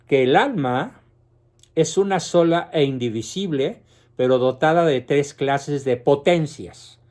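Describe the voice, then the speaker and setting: A man giving a philosophy lecture, explaining deliberately and lifting his voice to stress key words. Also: fairly high pitch, a little mellow. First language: Spanish. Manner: slow, deliberate explanation with rising emphasis.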